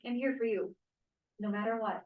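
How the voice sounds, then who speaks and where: A woman's voice: a little high, fairly even intonation, normal speed, reverberant, narrow, tinny audio, close to an everyday voice. A woman acting a guarded but caring young student activist in a stage play table read. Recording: distant room mic.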